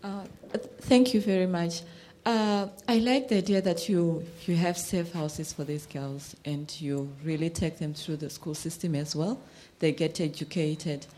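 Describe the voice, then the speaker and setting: A woman offers an encouraging comment from the audience. Her voice is soft-edged and very mellow, pitched a little low. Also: fairly even intonation, measured pace, hardly any pauses. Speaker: audience member.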